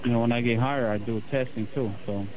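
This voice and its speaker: Halting man, chatter behind him. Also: medium pitch, steady tone, muffled recording. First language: Spanish. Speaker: telemarketing scam caller. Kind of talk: casual phone conversation.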